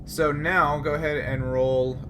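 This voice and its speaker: A man's voice: fairly high pitch, fairly even intonation, unhurried, music in the background. A man running a tabletop role-playing game, explaining rules and procedure as game master.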